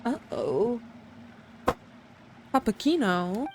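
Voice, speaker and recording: A woman's voice: on the high side, lively intonation, extremely slow, noisy background. A woman streaming a video game, reacting aloud in casual, exclamatory commentary. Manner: exclamatory, talking back at the game. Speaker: live streamer.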